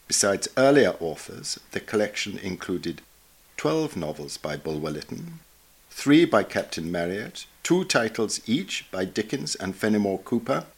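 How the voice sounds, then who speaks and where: A man reading a scholarly article aloud in a formal, measured, bookish manner. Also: on the low side, breathy edge. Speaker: reader of a journal article. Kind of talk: scripted narration, reading an essay aloud.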